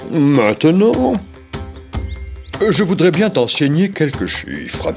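A venerable cartoon sage who teaches solemnly. His fairly high voice is slow and measured but very expressive in its rise and fall, with music clearly behind it. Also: full character voice. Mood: amazed, instructive. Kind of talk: French animated film dub.

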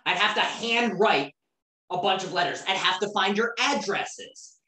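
Woman teacher, mid-pitched, casual lecture peppered with questions to the class; distant mic, roomy. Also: unhurried, pushed and bright. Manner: conversational, keeps asking the class questions.

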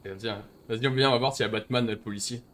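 A man's voice: lowish voice, steady tone, fast talker, a bit bright, a little hiss or hum. Mocking young man on a headset. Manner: mocking, swears a lot. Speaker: streamer. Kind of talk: casual gaming commentary.

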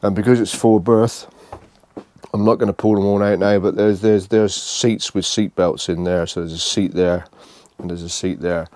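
Low, mellow-voiced British man. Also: fairly even intonation, dry recording. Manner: halting, repeats phrases as he goes. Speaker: vlogger giving a motorhome tour. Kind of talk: casual unscripted walkthrough narration.

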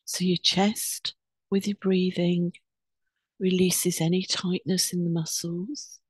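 A woman leading a guided meditation in a soothing, unhurried, instructing way with pauses between phrases. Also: fairly low pitch, level tone, slightly rough, no echo.

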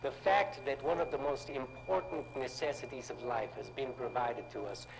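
An actor playing a formal middle-aged community elder in a game, speaking slowly and solemnly with an unplaceable accent. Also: male voice, mid-range voice, vocal fry, faint music behind, natural voice, rough recording. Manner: slow, solemn explanatory delivery. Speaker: game character guide in full-motion video.

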